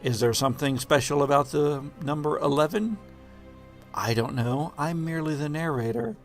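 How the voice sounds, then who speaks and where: A man narrating an improvised scene as game master of a tabletop horror game, with halting, deliberate descriptive phrasing. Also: on the high side, faint music behind.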